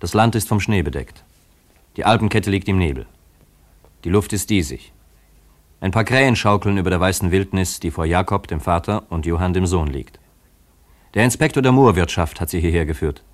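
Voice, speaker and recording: A man narrating a German radio drama from a script in a measured, literary manner. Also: sits low.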